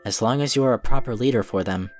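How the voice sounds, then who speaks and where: A man playing a calm, diplomatic cookie wizard who speaks in an earnest, formal, measured way in a fan cartoon drama. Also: mid-range voice, faint music behind, natural voice. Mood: calm, firm. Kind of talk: scripted fan audio drama acting.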